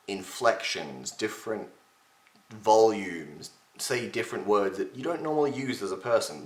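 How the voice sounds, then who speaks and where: A young man talks to his webcam in a room at home, his fairly low voice a little gravelly and strained. He explains things in a loose, chatty way and pokes fun at himself. The room adds a noticeable echo. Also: a bit bright. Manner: chatty, self-mocking, gestures with his hands. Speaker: voice impression tutor. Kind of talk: casual webcam tutorial. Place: home room with shelves, indoors. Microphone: webcam at desk distance.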